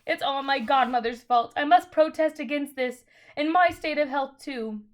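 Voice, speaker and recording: A woman doing a wailing, cowardly old gentleman. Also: sits high, moderate pace, pitched above the performer's own voice, lightly acted. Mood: wailing self-pity. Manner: trembling, wailing, self-pitying. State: crying.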